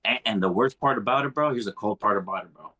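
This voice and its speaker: A man's voice: on the low side, quick pace, pushed and bright, slightly rough. A man, telling his story as a podcast guest in laid-back street slang, dropping Spanish phrases and calling everyone bro. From Mexico. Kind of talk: casual podcast chat.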